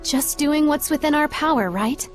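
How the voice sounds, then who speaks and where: Game role, female actor: sincere young heroine, high voice, earnest, light music underneath. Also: normal speed, close to an everyday voice. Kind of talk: English video game dub acting.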